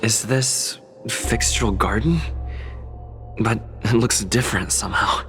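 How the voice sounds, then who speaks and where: A man's voice: on the low side, a little slow, a bit bright, soft background music. A man playing a cautious, concerned young traveler in an anime-style game, wondering aloud in an earnest way. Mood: wary, puzzled.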